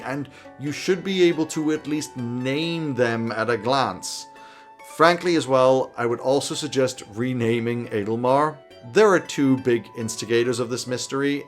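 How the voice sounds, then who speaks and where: A man in his thirties with a fairly high voice talks casually to camera as a game advice host. He is animated and drily funny, explaining things conversationally, with light guitar music playing underneath. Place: small home office, indoors.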